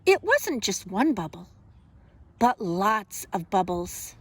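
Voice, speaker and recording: A woman kindergarten teacher speaking simply and expressively to young children, in a deliberate storytime manner. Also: mid-range voice, very animated, slight background noise. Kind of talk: children's storybook read-aloud and simple instruction.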